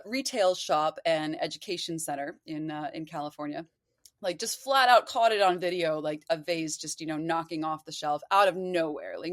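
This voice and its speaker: A woman's voice: lowish voice, dry recording, studio quality. A woman talking as a podcast guest, explaining things in a casual, digressive, conversational way. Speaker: podcast guest, occult practitioner.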